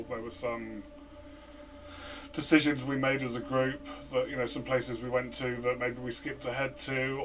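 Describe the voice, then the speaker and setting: A man's voice: mid-range voice, far from the mic, background noise. A man co-hosting a casual game review chat, hesitant and full of fillers and restarts. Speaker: podcast co-host, player.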